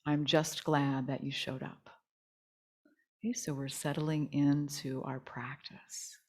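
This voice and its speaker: A woman teaching yoga nidra, leading a guided relaxation meditation in a soothing, gentle, unhurried manner. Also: sits low, little pitch movement.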